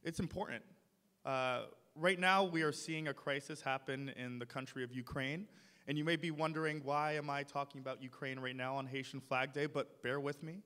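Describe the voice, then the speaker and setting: A man's voice: mid-range voice, steady tone, moderate pace, bright, forceful tone, clear, resonant tone. A young man, a city council member, giving a passionate speech at a podium in a large ornate hall. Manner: passionate, rising to emphatic points. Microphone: podium mic. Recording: long hall reverb. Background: audience in the hall.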